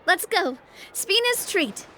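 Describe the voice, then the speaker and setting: A woman's voice: extremely high pitch, sing-song, slow pace, pushed and bright, a little hiss or hum, heavily acted. An actress playing a sunny, generous young woman leader in a video game, breezy and rallying. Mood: cheerful.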